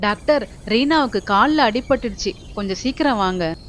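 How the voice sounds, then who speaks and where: A woman's voice: a little high, lively intonation, moderate pace, a bit bright, a little hiss or hum. A woman voicing an eager, helpful young male animal friend in a children's cartoon, speaking in an urgent, friendly way.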